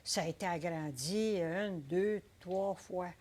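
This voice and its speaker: An older woman with a Québécois accent, telling her story in a casual interview, unhurried and hesitant, full of fillers. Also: fairly low pitch, darkish tone. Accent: Québécois French. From Quebec. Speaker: interviewee, entrepreneur.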